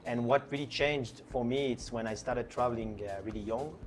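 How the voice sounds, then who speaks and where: A man's voice: medium pitch, normal speed, music in the background. A man from France with a French accent, a DJ chatting casually in an interview, with lots of fillers and restarts. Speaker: DJ and producer guest.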